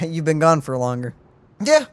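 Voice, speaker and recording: A woman hosting a gaming channel, reacting to a game with excited, playful comments. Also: sits low, unhurried, faint music behind.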